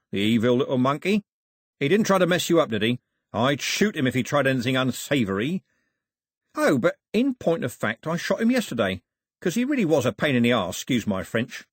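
A man's voice: mid-range voice, breathy edge, no echo, clean studio sound, pitched above the performer's own voice. A man voicing a brash, mocking villainous raider with a snarling, coarse way of talking in an audiobook story. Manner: snarling, complaining, coarse colloquial talk.

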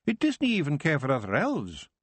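A blunt, gruff Scottish clan chief, small and fierce, as a man puts him on, pitched high and pushed loud. He talks in broad, hard dialect. The sound is close and dry. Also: wildly swooping pitch, a little fast, no echo, heavily acted. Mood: stern.

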